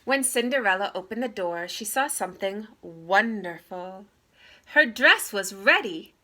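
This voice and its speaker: Lady reading a kids fairy tale, very animated, bright n expressive. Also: medium pitch, unhurried. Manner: expressive, theatrical. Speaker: storybook reader. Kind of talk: children's read-aloud narration.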